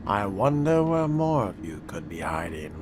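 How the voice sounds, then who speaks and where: A man role-playing a sardonic, predatory vampire in solo game commentary, with wry, theatrical delivery and formal turns of phrase, under loud music. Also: fairly low pitch, big pitch swings, moderate pace, background noise. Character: sardonic, predatory fledgling vampire stalking prey. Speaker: gamer role-playing through a video game.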